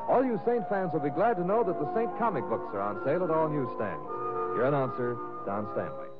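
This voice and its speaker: A man's voice: on the high side, very animated, fairly quick, narrow, tinny audio. An American man announcing on 1950s network radio in a polished, promotional style. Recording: band-limited vintage radio recording with loud music. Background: loud music.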